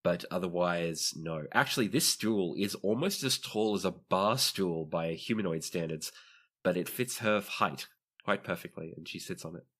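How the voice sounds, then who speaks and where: A man's voice: low-pitched, normal speed, a bit bright. A man acting as game master, narrating a tabletop roleplaying game.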